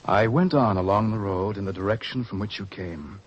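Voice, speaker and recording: Man playing a humble, low-voiced father. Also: brisk, lightly acted. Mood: earnest. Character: humble, grateful father of a healed girl. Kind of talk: old-fashioned radio drama acting. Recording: dull, hissy old radio recording.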